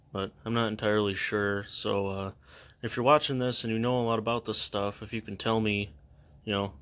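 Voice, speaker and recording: A young man chatting casually in a vlog, halting and full of fillers, filming indoors at a house. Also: fairly low pitch, sharp tone. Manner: halting, many fillers, unsure. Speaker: vlogger. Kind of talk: casual show-and-tell vlog.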